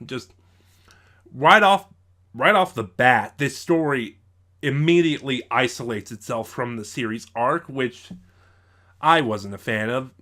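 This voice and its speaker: Man giving a halting off-the-cuff review. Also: medium pitch, pushed and bright. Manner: halting, thinking aloud, often rephrasing mid-sentence. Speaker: YouTube audio drama reviewer. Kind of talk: unscripted review commentary.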